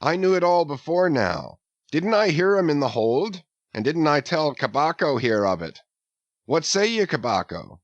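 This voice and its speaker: A chatty, knowing common sailor, as a male narrator puts him on, speaking up a bit higher than the reader's own voice. The sound is close and dry. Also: very animated, normal speed, no room sound, highs cut off.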